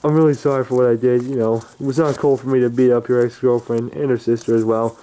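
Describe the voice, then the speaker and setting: A man's voice: medium pitch, level tone, fast, dark tone, close to an everyday voice, low-quality recording. A man voicing a hesitant, remorseful young ex-boyfriend who mumbles and stumbles over his words. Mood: apologetic. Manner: hesitant, mumbling, many restarts.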